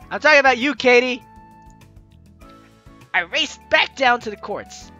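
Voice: medium pitch, lively intonation, slow, sharp tone, dull audio. A let's play host reading visual novel lines aloud and reacting in an exclaiming, giggly way. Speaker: gaming video host. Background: game music.

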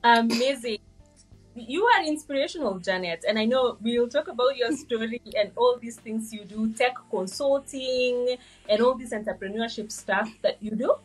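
A woman's voice: a little high, moderate pace, husky, soft background music, rough recording. A woman hosting a podcast, asking questions in an enthusiastic, encouraging way. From Kenya. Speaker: podcast host. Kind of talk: podcast interviewing.

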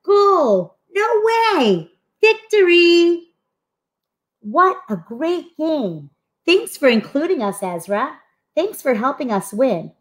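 Storybook schoolkids, voiced by a woman: eager, friendly, earnest, higher pitched, swinging intonation. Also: slow, full character voice. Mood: excited. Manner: expressive, earnest. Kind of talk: animated storybook read-aloud.

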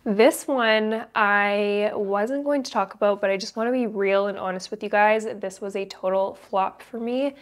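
A woman's voice: mid-range voice, moderate pace, few pauses. Chatty young woman, congested from a cold. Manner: upbeat, chatty and candid. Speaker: beauty creator. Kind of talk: casual makeup tutorial chat. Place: indoor studio, plain backdrop.

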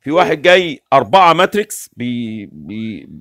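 A man's voice: mid-range voice, normal speed, a little hiss or hum. A man in his forties with an Egyptian Arabic accent, hosting a livestream from a small room and explaining things insistently, waving his hands as he talks. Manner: animated, gestures constantly, explains insistently. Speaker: livestream host. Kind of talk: casual livestream talk. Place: small room with curtains, indoors.

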